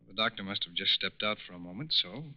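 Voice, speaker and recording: An actor playing a calm, courteous male federal agent with measured questioning in a 1940s radio crime drama. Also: deep voice. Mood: calm, inquiring. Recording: muffled, hissy old radio broadcast.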